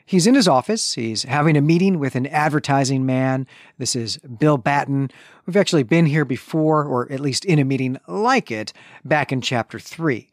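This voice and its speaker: A man's voice: mid-range voice, lively intonation, normal speed, dry recording, clean studio sound. Methodical man summarizing a story. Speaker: podcast co-host. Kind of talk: casual book discussion.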